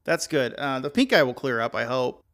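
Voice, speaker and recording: Gravelly bright-voiced deadpan man. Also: mid-range voice, moderate pace. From American South. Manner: deadpan, plays along with the bit. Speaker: podcast co-host. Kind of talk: casual comedic banter.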